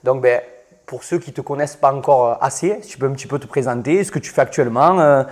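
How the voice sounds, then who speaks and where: A man hosting a podcast interview, asking questions informally and teasing his guest in a relaxed way. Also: mid-range voice, quick pace. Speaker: podcast host.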